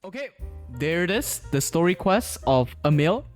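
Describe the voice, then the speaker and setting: A man's voice: high-pitched, big pitch swings, normal speed. A man commentating casually on a live game stream in a laid-back, slangy way. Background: game music.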